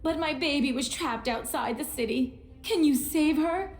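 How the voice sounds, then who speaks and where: A woman's voice: high-pitched, moderate pace, noisy background. An actress playing an earnest townswoman of a besieged city in a fantasy video game. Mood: pleading. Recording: roomy echo, clear music behind.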